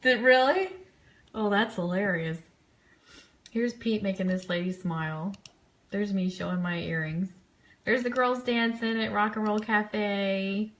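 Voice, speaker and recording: A woman's voice: mid-range voice, measured pace. Chatty, enthusiastic woman. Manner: chatty, enthusiastic fan talk. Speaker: host of an online fan show. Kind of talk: casual live commentary on trip photos.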